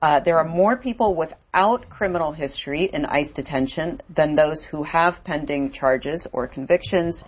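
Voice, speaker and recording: A woman with a notably low voice hosts the interview, asking her questions haltingly with many fillers. The sound is dull and lo-fi. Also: slightly rough. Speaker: interview host. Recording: dull lo-fi audio.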